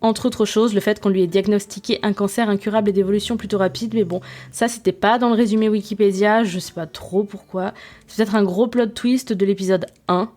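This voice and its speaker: A woman's voice: medium pitch, steady tone, a little fast, darkish tone. A young woman hosting a podcast, reviewing a series in a casual, self-mocking way with many fillers and restarts. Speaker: podcast host.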